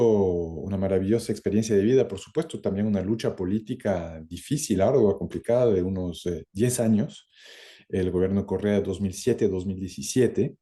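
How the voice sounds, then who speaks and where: Deep, very mellow male interview guest. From born in France, lived some twenty years in Ecuador. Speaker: interview guest, academic and former government minister. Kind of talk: reflective interview answers.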